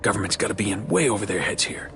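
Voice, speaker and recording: A man playing a wary, skeptical soldier in a video game, low-key and muttering. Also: expressive, rapid-fire. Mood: wary, subdued. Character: wary, skeptical male soldier on search duty. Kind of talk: video game cutscene acting.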